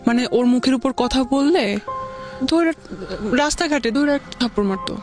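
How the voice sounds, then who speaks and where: A woman's voice: sits high, animated, moderate pace, music in the background. Hesitant young Bangladeshi woman telling her story. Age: young adult. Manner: hesitant, stammers and breaks off mid-word, colloquial. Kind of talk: personal storytelling in an interview.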